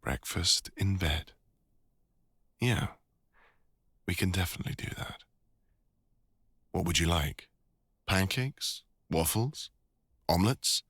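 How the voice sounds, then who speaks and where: A man with a deep British voice playing a devoted, teasing older boyfriend and father in an intimate ASMR audio roleplay. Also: lively intonation, takes it slowly, pauses often, fairly bright tone, slightly rough, no room sound, clean studio sound, full character voice. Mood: affectionate, gentle.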